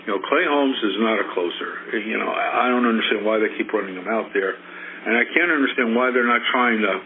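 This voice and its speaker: A man from South Carolina leaving a casual, halting fan voicemail over the phone. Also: lowish voice. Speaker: voicemail caller. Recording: narrow phone voicemail with faint noise.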